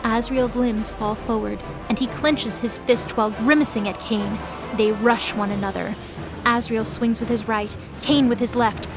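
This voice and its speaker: A woman narrates an audio drama in a fairly high voice. She reads scripted third-person action as the storyteller. Clear music plays behind her. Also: steady tone, moderate pace.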